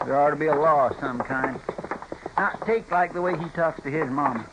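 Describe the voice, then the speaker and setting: A man's voice: a little high, moderate pace, highs cut off, close to an everyday voice. A man playing a loyal, folksy deputy sidekick in a radio Western, rambling in a rural Western American drawl. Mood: grumbling. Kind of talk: 1950s radio Western acting. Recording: very noisy old radio broadcast.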